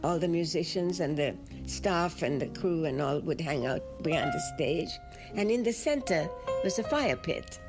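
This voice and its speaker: A woman's voice: low voice, animated, moderate pace. Older woman reminiscing over music. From Sri Lanka. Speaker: interviewee, jazz singer. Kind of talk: reminiscing interview. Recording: music playing underneath.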